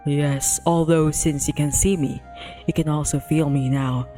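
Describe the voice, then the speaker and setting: Woman as soothing male tiger for a visual novel: lowered pitch, unhurried, reassuring. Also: fairly even intonation, mellow, faint music behind. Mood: gentle, reassuring. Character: big gentle male tiger, soothing and inviting.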